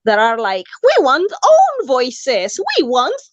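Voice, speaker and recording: A woman's voice: high-pitched, wildly swooping pitch, takes it slowly, no echo, muffled recording. A woman hosting a casual writers' chat on a video call, chatty and emphatic, laughing often. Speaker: host, writer.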